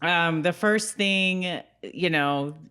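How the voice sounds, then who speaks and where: A woman's voice: lowish voice, extremely slow, bright, forceful tone, clear, resonant tone. A woman co-hosting a podcast, recapping an episode in a casual, conversational storytelling way. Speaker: podcast co-host.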